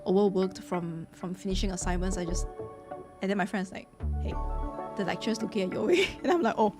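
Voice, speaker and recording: A young woman from Malaysia chatting casually as she reacts to a game, expressive and prone to repeating phrases. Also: mid-range voice, brisk. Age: young adult. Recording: game music behind the voice.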